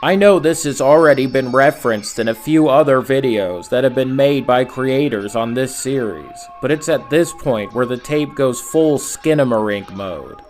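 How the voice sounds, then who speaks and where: A man narrating scripted horror commentary as a host, in a measured storytelling manner with rhetorical asides. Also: medium pitch, a little mellow, soft background music. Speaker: video essay host and narrator.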